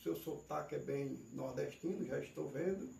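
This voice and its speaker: Formal Northeastern Brazilian pastor, gravelly voice. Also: male voice, on the high side, moderate pace, dark tone, distant mic. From Pernambuco, Brazil. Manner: ceremonious, polite, addresses guest formally. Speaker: pastor and interviewer. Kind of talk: formal church-style interview. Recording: echoey room from afar with faint noise.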